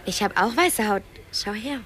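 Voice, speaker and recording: A woman's voice: mid-range voice, lively intonation, normal speed, a bit bright, lightly acted. A female performer playing a bold, curious little beggar girl in a radio play.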